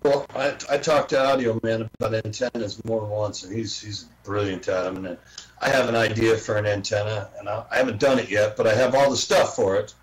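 A man's voice: fairly low pitch, steady tone, brisk, rough recording. A man chatting informally on an online group call as a radio hobbyist, rambling and repeating himself. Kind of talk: casual chat.

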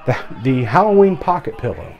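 A man's voice: mid-range voice, sing-song, a little fast, creaky, lo-fi sound. A middle-aged man giving a chatty, rambling product review as a travel vlogger, filmed at arm's length on a cruise ship balcony. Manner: chatty, rambling, with fillers and restarts. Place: atrium balcony of a large cruise ship, indoors. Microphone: camera held close at arm's length.

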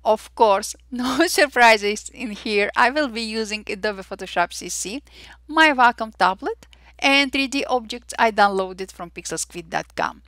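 A woman with an Armenian accent hosting a software tutorial, cheerful and chatty with frequent fillers and little exclamations. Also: a little high, unhurried, dry recording. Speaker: Photoshop tutorial host. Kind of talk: screen-recorded software tutorial.

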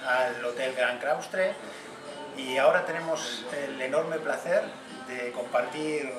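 A man's voice: medium pitch, takes it slowly. Enthusiastic man hosting, roomy sound. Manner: warm, enthusiastic, with many fillers. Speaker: host. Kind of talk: interviewer. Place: restaurant dining room, indoors. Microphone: far camera mic. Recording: echoey room from far off, with music behind.

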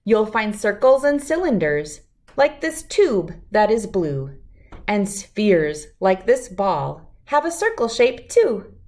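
A middle-aged woman reading a rhyming picture book aloud to children in an expressive, deliberate, lilting way. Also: mid-range voice. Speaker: children's read-aloud host.

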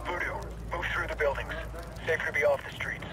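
A man playing a brisk special forces operator. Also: on the high side, fairly even intonation, background noise, close to an everyday voice. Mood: tense. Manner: brisk tactical radio talk. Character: male special forces operator calling positions. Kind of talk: video game combat dialogue. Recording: game audio over loud gunfire.

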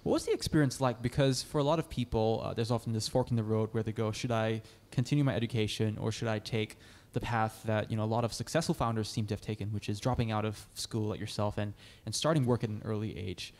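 A young man hosting a studio podcast, speaking into a boom-arm mic in a bright room. His voice sits on the low side and his manner is encouraging, and he asks long, winding questions. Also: fairly even intonation, fast talker, few pauses. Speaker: podcast host. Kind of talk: interview hosting.

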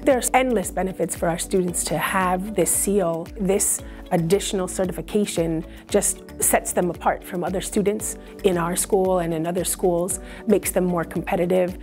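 A woman's voice: on the low side, fairly even intonation, moderate pace, a little mellow, faint music behind. A woman on a school staff, speaking persuasively as she lists benefits.

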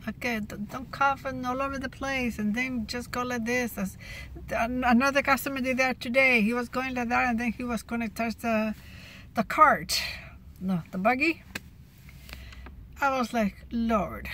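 A woman's voice: fairly high pitch, pushed and bright, slight background noise. Chatty woman vlogging from her car. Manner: chatty, restarts often, laughs at gross moments. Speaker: cashier sharing her workday. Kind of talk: casual car vlog chat. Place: inside a parked car. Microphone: phone camera at arm's length.

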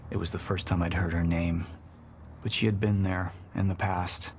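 Controlled executive, screen drama: deep, creaky, measured, authoritative. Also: male voice, flat delivery, a little hiss or hum. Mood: brooding narration. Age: 40s. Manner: measured, commanding, terse. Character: controlled, brooding male corporate executive giving orders. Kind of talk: live-action screen drama acting.

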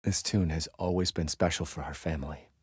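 An actor playing a gentle, affectionate father musing fondly about his baby daughter in a video game. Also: very deep voice, fairly even intonation, fairly quick, husky, big-room sound, heavily acted. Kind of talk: reflective video game voice acting.

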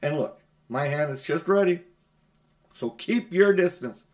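A man's voice: a little high, lively intonation, a little slow. A man reading a storybook, voicing a brave young boy who warns firmly and urgently. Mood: defiant. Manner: urgent, firm, warning.

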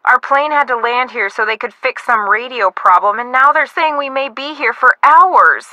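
An actress with an American accent playing an excitable, impatient, dramatic young woman in a video game. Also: high-pitched, moderate pace, dry recording, poor audio. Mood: complaining. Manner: gushing, exclamatory, dramatic.

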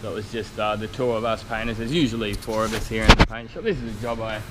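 A man's voice: fairly low pitch, level tone, fast. A man in his thirties giving a casual, rambling, blokey walkaround vlog as a car painter, recorded on a handheld camera in a large workshop. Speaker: car spray painter and YouTube vlogger. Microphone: action camera at arm's length. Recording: clear workshop noise, handheld action camera.

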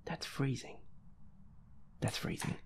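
A man playing a feisty boyfriend in a roleplay audio, muttering whiny, sweary complaints over a scary video game in a breathy half-whisper. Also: takes it slowly, natural voice. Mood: nervous, pleading. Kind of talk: roleplay audio, improvised gaming chatter.

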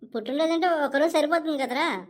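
A woman's voice: high voice, fast, close to an everyday voice. A woman voicing a meek, good-natured young male office manager in a comedy cartoon, hesitant and deferential in the way of talking. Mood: pleading, worried. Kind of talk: Telugu comedy cartoon dialogue.

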